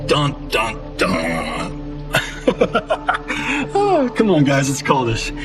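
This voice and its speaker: An actor playing a cocky, flippant Hollywood action star in a video game, with a sarcastic, theatrical delivery. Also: male voice, a little high, very animated, measured pace, music in the background. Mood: amused. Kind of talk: cinematic video game acting.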